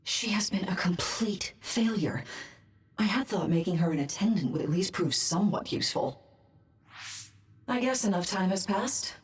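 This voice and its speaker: A woman's voice: medium pitch, lively intonation, normal speed, lightly acted. An actress playing a ruthless, contemptuous woman scientist with a clinical, disdainful delivery in a video game dub.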